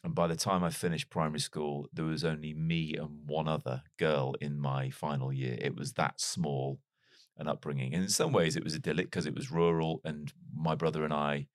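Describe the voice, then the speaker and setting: Very deep-voiced reflective British man. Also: flat delivery, moderate pace, dry recording, clean studio sound. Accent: mild British English, not strongly Welsh. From North Wales. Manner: reflective, wry, self-deprecating. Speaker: podcast guest. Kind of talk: casual interview storytelling.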